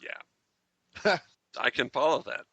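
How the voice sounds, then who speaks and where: A man's voice: medium pitch, lively intonation, slow pace, creaky, sharp tone, slightly rough, dry recording, muffled recording. A man chatting casually as a panelist on a fan podcast.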